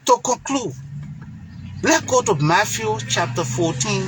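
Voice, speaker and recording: A man's voice: high voice, lively intonation, unhurried, background noise. A man from Liberia with a Liberian accent, giving an impassioned political monologue in an emphatic, preacher-like way, repeating phrases for stress. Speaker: political commentator.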